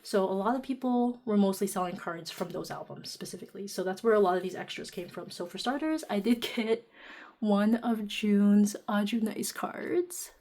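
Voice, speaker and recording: A woman vlogger chatting casually and a little quietly while sitting down at home, with lots of fillers and laughs. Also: medium pitch, animated, moderate pace. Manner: talking softly while seated. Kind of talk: casual chatty vlog narration. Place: indoors at home, seated.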